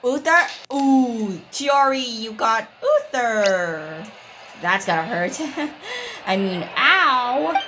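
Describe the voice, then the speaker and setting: A woman's voice: on the high side, big pitch swings, extremely slow. A woman giving casual commentary as she streams a game playthrough. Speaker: gameplay streamer. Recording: very noisy home game recording.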